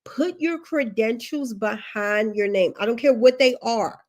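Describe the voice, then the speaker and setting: A woman's voice: on the high side, unhurried, a little mellow. A woman career coach on a livestream, sniffly from allergies, giving advice in an emphatic way and repeating her key points. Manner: emphatic, repeats key instructions, chatty asides.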